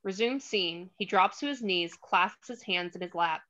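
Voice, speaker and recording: Bright-voiced woman reading stage directions. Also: on the low side, normal speed, muffled recording. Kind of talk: scripted screenplay narration.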